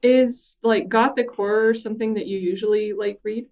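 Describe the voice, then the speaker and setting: A woman with a mid-pitched voice hosts a relaxed podcast interview. She asks curious questions and laughs easily. Her voice sounds a little distant from the mic. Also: normal speed. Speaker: podcast host. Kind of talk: casual interview conversation.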